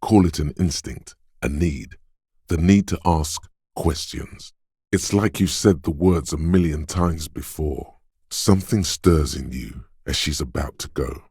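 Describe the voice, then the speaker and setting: A man voicing a detective's quick-witted inner instinct in a video game, narrating in a measured, knowing, dramatic way. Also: rumbling low, dark tone, breathy edge, no echo, studio quality, exaggerated voice. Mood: tense, narrating. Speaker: voice actor. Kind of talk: second-person game narration.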